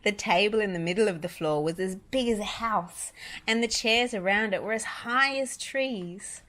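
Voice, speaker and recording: A woman reading a children's story aloud, deliberate and emphatic on repeated descriptive words. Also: medium pitch, fairly bright tone. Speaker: storybook reader, parent.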